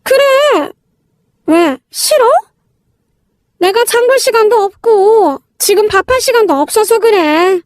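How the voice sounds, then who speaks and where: Super high naggy sister-in-law lady narrator acting her, rude and bossy, talks down to people, story drama. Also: lively intonation, slow, dry recording, clean studio sound, heavily acted. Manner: scolding, talks down in blunt informal speech. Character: rude, bossy, shrill elder sister-in-law, quick to anger.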